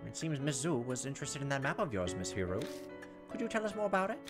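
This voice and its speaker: A man's voice: mid-range voice, big pitch swings, quick pace, close to an everyday voice. A man voicing a courteous, formal older woman fortune teller in a horror game, with music behind. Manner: formal, genteel.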